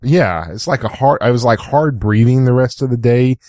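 A slightly hoarse man co-hosting a chat show, telling things in a casual, rambling, conversational way. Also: medium pitch, moderate pace, a little mellow, no room sound, clean studio sound. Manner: rambling, frequent "like" and "you know". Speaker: co-host of a tech chat show.

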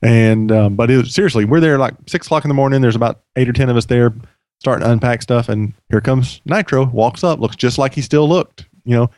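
A man hosting a podcast in casual chat, his voice a little hoarse from yelling, talking in a chatty, rambling way with frequent restarts. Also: mid-range voice, brisk, no room sound, clean studio sound. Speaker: podcast host.

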